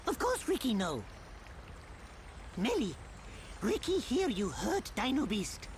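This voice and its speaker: A woman's voice: fairly high pitch, wildly swooping pitch, takes it slowly, a little hiss or hum, full character voice. A woman voicing a small, furry, cheerful male creature in a video game, childlike and eager, exclaiming in broken third-person speech. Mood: confident. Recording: music behind the voice.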